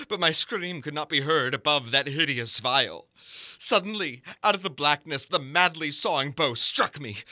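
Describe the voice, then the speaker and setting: Bright, dramatic male horror narrator. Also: a little high, dry recording, clean studio sound. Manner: theatrical, deliberate, swelling from hushed to intense. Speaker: narrator reading a horror story. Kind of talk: dramatic reading of gothic horror fiction.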